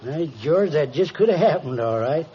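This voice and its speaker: An actor playing a folksy, garrulous, eager henpecked shopkeeper in a 1950s radio drama. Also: male voice, on the high side, expressive, moderate pace, dark tone, close to an everyday voice. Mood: chatty, excited. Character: folksy, chatty, henpecked small-town-style shopkeeper husband. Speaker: crime victim reporting stolen checks. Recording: noisy, dull vintage 1950s radio recording.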